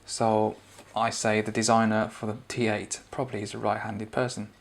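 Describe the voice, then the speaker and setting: A man's voice: on the low side, little pitch movement, normal speed, a little hiss or hum. A man giving a conversational, explanatory spoken product review and demonstration.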